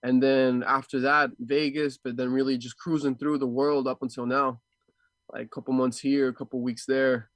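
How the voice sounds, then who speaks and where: Male martial artist, interview guest, medium pitch. Casual, thoughtful, halting delivery with repeated words. Dry recording. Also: little pitch movement, no echo. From Ecuador and Houston, USA. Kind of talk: casual, reflective interview talk.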